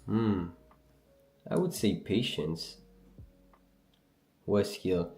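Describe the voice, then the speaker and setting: Deep-voiced French Canadian man chatting live. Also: big pitch swings, extremely slow, darkish tone, reverberant, soft background music. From Algeria, raised in Canada. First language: Berber language. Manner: loose, slangy, reads and answers chat. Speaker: livestream trader host. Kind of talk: casual livestream chat answering viewers.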